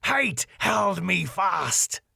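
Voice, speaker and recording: A man's voice: high-pitched, sing-song, drawn-out words, gravelly, husky, no room sound, studio quality, pitched above the performer's own voice, full character voice. A man voicing a raging, pompous middle-aged ballroom owner who rants in theatrical tirades. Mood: grimly slow. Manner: furious, theatrical, shouting tirades.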